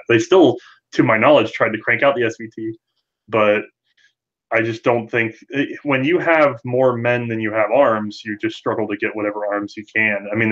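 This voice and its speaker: A man's voice: medium pitch, a bit bright, lo-fi sound. A man giving conversational expert explanations as an interview guest, thinking aloud in long runs. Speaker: podcast guest, firearms history presenter.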